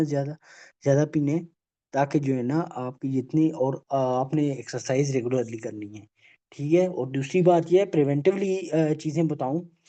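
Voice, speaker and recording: A man giving an online health awareness lecture, halting and full of filler phrases, checking in with "okay?" after almost every point. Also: a little high, warm tone. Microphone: video call. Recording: dull lo-fi video call audio.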